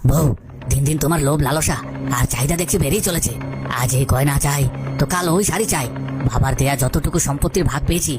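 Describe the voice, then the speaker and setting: A woman's voice: sits low, quick pace, natural voice. A woman voicing an old man's grown sons in a cartoon folk tale, dramatic and emphatic. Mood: complaining. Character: adult sons of an old man, quarrelsome brothers. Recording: loud music behind the voice.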